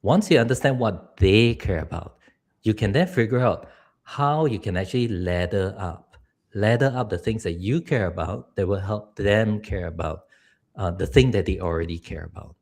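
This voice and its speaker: A man's voice: fairly low pitch, moderate pace, a little mellow. A middle-aged man from Singapore, a public speaking coach giving earnest advice on a live stream. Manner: earnest, advice-giving, laughs now and then.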